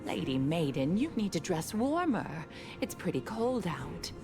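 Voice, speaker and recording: Casting: kindly older neighbor lady for a game, lowish creaky voice, unhurried and motherly, music underneath. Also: sing-song. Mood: caring. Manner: gentle, fussing, motherly. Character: kindly older townswoman, motherly family friend. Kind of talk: scripted video game dialogue. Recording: music behind the voice.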